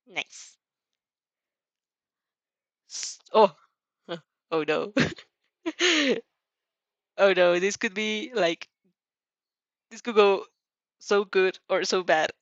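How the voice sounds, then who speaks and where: Girl reading fanfic out loud, giggly and expressive, chatty asides, kinda muffled dry mic. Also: medium pitch, extremely slow, long pauses, dry recording. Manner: giggly, expressive, breaks off to comment. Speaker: fan fiction narrator and reactor. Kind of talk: dramatic reading with casual reactions.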